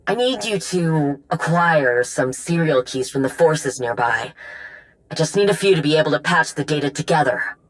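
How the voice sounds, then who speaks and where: A woman's voice: low voice, animated, moderate pace, rough recording. A woman playing a resourceful, determined tech specialist in a video game, speaking in a casual, practical way. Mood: focused.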